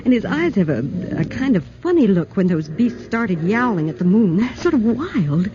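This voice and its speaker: A woman's voice: medium pitch, sing-song, moderate pace, close to an everyday voice. An actress playing a plucky, jittery young American woman in a 1940s radio drama, slangy and given to breathless worrying. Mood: worried, urgent. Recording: muffled, noisy 1940s radio recording.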